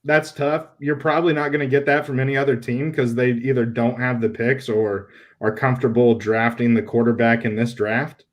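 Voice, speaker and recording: A man hosting a casual sports talk show, animated and blunt, with a loose, joking delivery. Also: mid-range voice. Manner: animated, laughs, swears casually. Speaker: podcast host.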